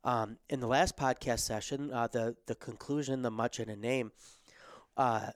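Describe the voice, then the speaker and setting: A man delivers a recorded theology lecture from a studio, his voice slightly hoarse as he loses it. He talks haltingly, with many ums, restarts and cleared throats. The sound is dry and close, and his tone stays smooth and resonant under the strain. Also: medium pitch, steady tone, dry recording. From America. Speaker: theology lecturer and podcast host.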